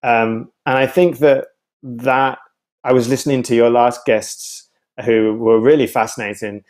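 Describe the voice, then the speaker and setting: A man in his thirties speaks as an interview guest over a video call. His voice sits at a middle pitch. He is thoughtful and hesitant, often circling back to restart a phrase as he looks for the right word. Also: fairly even intonation, measured pace, a little mellow. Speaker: guest, writer and theatre artist. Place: home room with bookshelves, indoors. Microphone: video call, wired earphones.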